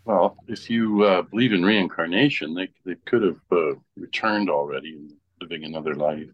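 A man with a low-pitched voice in casual conversation. He muses and explains, working through his ideas as he speaks. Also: normal speed, lo-fi sound. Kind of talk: casual group chat.

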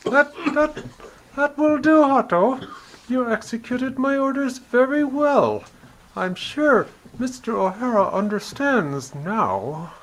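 Courteous menacing villain, high-pitched, slow, coolly commanding, old radio crime drama, hissy recording. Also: female voice, animated.

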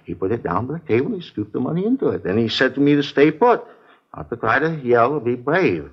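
A man's voice: mid-range voice, big pitch swings, quick pace, husky. An American man playing a talkative, slightly testy supermarket manager in a 1950s radio drama, rambling in a folksy, naturalistic way. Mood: recounting. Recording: muffled vintage radio recording.

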